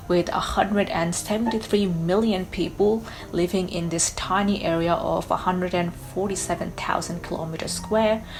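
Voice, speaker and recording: A woman's voice: lowish voice, slight background noise, rough recording. A young woman from Bangladesh narrating a scripted history explainer video in an earnest, personal and emphatic way. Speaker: YouTuber.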